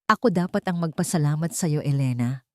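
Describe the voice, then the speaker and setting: A woman's voice: sits low, expressive, brisk, dry recording, clean studio sound. A woman voicing a kind, humble man.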